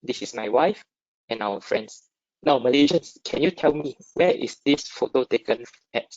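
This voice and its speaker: A Singaporean-accented man gives an informal webinar talk in a conversational, interactive way, tossing in short check-in questions. His voice sits at a medium pitch, captured dry and slightly dull over an online connection. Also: animated, normal speed, no room sound. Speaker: webinar speaker, stock dealer. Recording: dull lo-fi online call audio.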